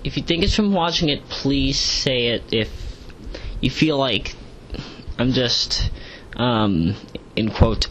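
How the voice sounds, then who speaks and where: A man rambling through an audio log in a halting way, with stiff, formal wording and repeated phrases. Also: mid-range voice, a bit bright. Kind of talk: rambling audio log reading out comments with asides. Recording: clearly noisy home recording.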